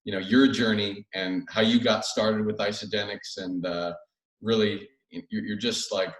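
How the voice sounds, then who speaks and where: A man speaking as a guest on an online call, telling his own story in a casual, loosely phrased, conversational way. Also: fairly low pitch. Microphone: video call, away from the mouth. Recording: roomy, distant microphone on online call.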